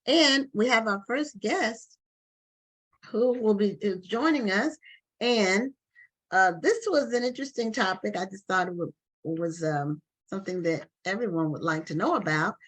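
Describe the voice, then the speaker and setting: A woman's voice: mid-range voice, unhurried, a bit bright. Talk show host woman. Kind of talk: live online book show interview.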